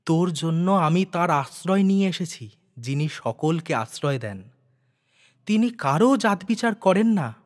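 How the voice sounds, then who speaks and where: A man voicing an earnest, resolute young woman in a storybook reading, soft and solemn. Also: on the high side, expressive, measured pace, in a large hall. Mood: gentle, resolute. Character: earnest, devoted young woman, once outcast, now resolute.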